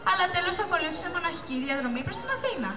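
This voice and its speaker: A woman's voice: very high-pitched, lively intonation, bright, forceful tone. A teenage girl narrating a school puppet show as a scripted story. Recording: echoey, distant mic, music behind.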